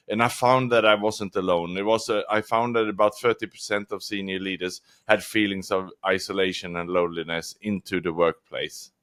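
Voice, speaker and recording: A man's voice: fairly low pitch, a bit bright. Reflective Swedish-born male guest. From Sweden. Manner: reflective, measured storytelling. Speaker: guest author. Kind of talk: interview answers.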